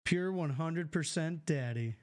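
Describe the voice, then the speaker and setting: A man's voice: a little high, measured pace. Chatty man streaming a game. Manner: chatty, reads chat aloud and answers it. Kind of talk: casual live game stream chat. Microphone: studio mic with filters.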